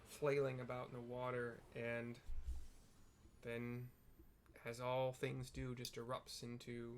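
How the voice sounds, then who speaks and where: A man with a mid-range voice gives casual video game commentary. He is chatty and descriptive, dropping in playful asides. Game ambience and some noise sit behind him. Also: mid-range voice. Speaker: gaming video narrator.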